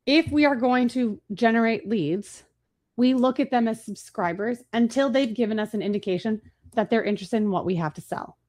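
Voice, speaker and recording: A woman hosting a marketing webinar, talking fast and casually with fillers and restarts. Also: fairly high pitch, a little mellow. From Chicago, USA. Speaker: webinar host.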